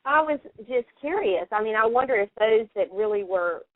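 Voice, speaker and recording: A woman's voice: on the high side, expressive, moderate pace. Chatty woman on a thin phone line. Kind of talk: casual conversation. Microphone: telephone call. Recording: narrow, tinny telephone line.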